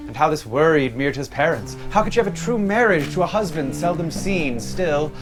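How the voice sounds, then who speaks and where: An actor on stage playing a sardonic, needling accuser who narrates dark crimes in a pointed, dramatic way. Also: male voice, fairly high pitch, expressive, normal speed, natural voice. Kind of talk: period stage play acting. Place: theatre stage. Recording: live theatre stage recording with music.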